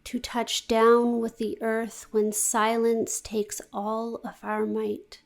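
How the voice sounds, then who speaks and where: A woman guiding a meditation in a gentle, deliberate, soothing manner with long pauses. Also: on the high side, completely flat delivery, gravelly. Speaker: yoga nidra meditation guide. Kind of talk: guided meditation, scripted narration.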